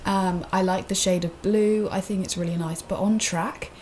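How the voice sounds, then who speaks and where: A woman's voice: mid-range voice, steady tone, normal speed, a little mellow, slight background noise. A woman co-hosting a podcast, speaking in an informal, conversational way. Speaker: podcast co-host. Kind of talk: casual panel chat.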